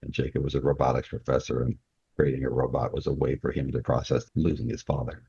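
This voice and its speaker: A man speaking as a guest author in a relaxed interview, explaining things through examples. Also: rumbling low, fast, creaky, slightly rough, no echo. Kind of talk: relaxed interview conversation about writing. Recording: dull, muffled lo-fi audio.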